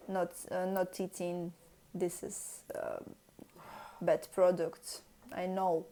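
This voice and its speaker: Slow, halting Russian-accented woman vlogger. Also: lowish voice, a little mellow, a little hiss or hum. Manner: hesitant, searching for words, many fillers. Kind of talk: halting casual talk in a second language.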